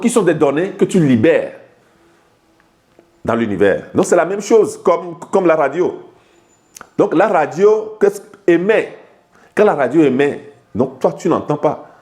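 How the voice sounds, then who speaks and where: A man's voice: fairly high pitch, dark tone. A man from Togo, a life coach, explaining ideas to the viewer in an informal video lesson, talking familiarly and stumbling over repeated words and restarts. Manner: addresses the viewer directly and familiarly.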